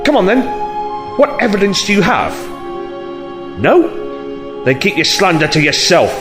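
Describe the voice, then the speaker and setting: An actor playing a blunt, harried 1960s police detective in an audio drama, with an English accent and an exasperated, colloquial manner. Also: male voice, sits high, sing-song, unhurried, music in the background, heavily acted. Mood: tense, defensive.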